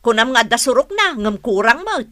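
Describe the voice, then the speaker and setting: An actress playing an earnest village leader who admonishes neighbours in an Ilocano radio comedy-drama. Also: female voice, a little high, big pitch swings, normal speed, sharp tone, no echo, studio quality. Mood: concerned, reproachful. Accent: Filipino, Ilocano.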